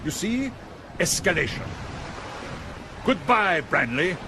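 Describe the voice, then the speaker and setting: An actor playing a smug, menacing politician villain with a smooth, mock-polite manner in an audio drama. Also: male voice, sits high, big pitch swings, slow, background noise, full character voice. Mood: smug menace.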